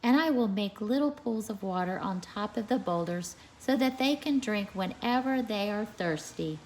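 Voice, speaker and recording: A woman's voice: mid-range voice, animated, normal speed, a little mellow, slight background noise, voice pushed up, close to an everyday voice, rough recording. A woman voicing an eager, imaginative, earnest little boy in a storybook reading. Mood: eager, dreamy. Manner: earnest, enthusiastic.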